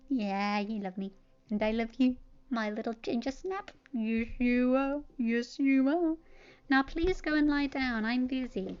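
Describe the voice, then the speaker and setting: Woman podfic reader, higher voice, casual host chat n storytelling, kinda dull lo-fi home audio. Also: clean tone. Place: a room at home.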